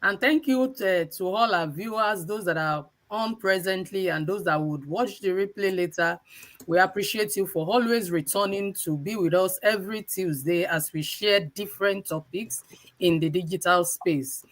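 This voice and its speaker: A woman from Nigeria co-hosting a live online talk, speaking in a measured, courteous way. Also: medium pitch. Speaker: co-host. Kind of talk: live stream introduction.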